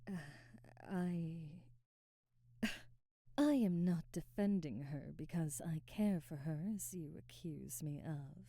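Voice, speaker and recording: A woman playing a haughty, commanding aristocratic vampire in an ASMR audio roleplay, speaking formally with imperious, deliberate diction. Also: deep voice, warm tone, dry recording, studio quality, full character voice. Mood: defensive, stern.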